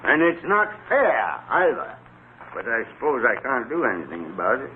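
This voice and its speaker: An actor playing a miserly, sour old employer in old radio drama style, curt, grumbling and scornful. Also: male voice, medium pitch, huge pitch swings, normal speed. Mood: grudging. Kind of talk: 1930s radio drama acting. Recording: noisy, tinny old radio broadcast recording.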